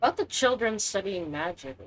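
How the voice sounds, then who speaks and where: A woman's voice: mid-range voice, lively intonation, brisk, a little hiss or hum, poor audio. A woman giving casual, brief reactions as she streams a video game. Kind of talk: casual let's-play reaction commentary. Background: game music.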